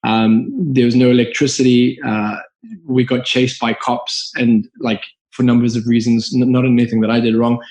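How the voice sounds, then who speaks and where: A man's voice: mid-range voice, little pitch movement, moderate pace, darkish tone. A man with a Zimbabwean accent, a guest entrepreneur telling his story in a podcast interview in an earnest, open way. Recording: roomy echo.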